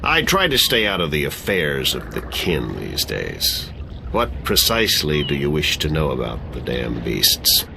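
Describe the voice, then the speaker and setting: A man's voice: rumbling low, huge pitch swings, unhurried, gravelly, background noise, full character voice. An actor playing a sardonic middle-aged exile who laughs at his own jokes and loves whiskey.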